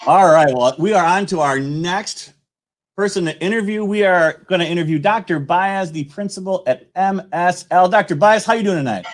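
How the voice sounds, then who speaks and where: A man with a high voice hosts a casual online interview. He stumbles and repeats words as he gets to his questions. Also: moderate pace. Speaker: interview host.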